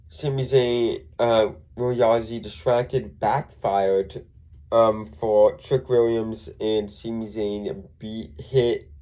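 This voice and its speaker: Congested, slow-talking man recapping wrestling. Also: on the low side, warm tone, poor audio. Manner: rambling, with frequent ums and self-corrections. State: congested from a cold. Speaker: wrestling show reviewer. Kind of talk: casual solo recap.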